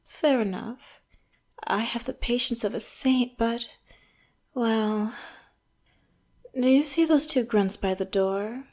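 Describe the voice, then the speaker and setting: A woman playing an elegant, condescending villain interrogator in an audio roleplay, speaking in a theatrical, mock-polite, taunting way. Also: on the high side. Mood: condescending, patient. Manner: slow, theatrical, mock-polite, taunting, drops into hushed asides. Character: elegant, wealthy villain boss, coolly menacing and condescending interrogator. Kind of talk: audio roleplay, scripted monologue to the listener.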